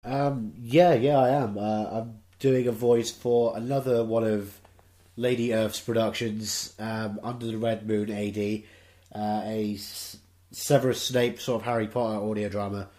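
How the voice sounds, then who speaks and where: A man talking casually in an interview about his work, with a halting, hesitant manner. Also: fairly low pitch, level tone, warm tone. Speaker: voice actor, cast member.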